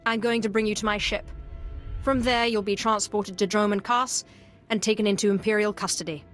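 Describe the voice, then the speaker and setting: A woman playing a commanding Sith agent in a science fiction game, controlled, pragmatic and authoritative, with a medium-pitched voice. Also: steady tone, fairly quick, faint music behind, natural voice. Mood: cool command.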